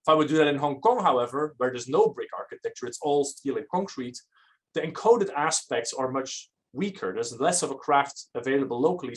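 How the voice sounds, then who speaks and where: A man from Belgium, giving an academic architecture lecture in a measured, explanatory manner. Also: medium pitch.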